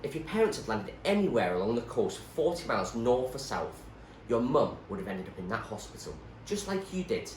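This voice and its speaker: A man's voice: medium pitch, very animated, fairly quick, hardly any pauses, close to an everyday voice. A man voicing an earnest, eager young boy in a storybook reading, speaking emphatically. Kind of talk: character dialogue in a storybook reading. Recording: roomy echo, faint background noise.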